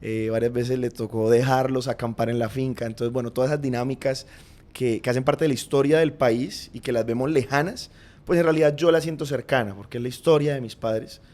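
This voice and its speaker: A man with a Colombian accent, a podcast guest, reflectively recounting his family's past in an earnest, unhurried way. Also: medium pitch, fairly even intonation, darkish tone. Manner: earnest, reflective, frequent "digamos" and "pues" fillers. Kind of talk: personal storytelling in conversation.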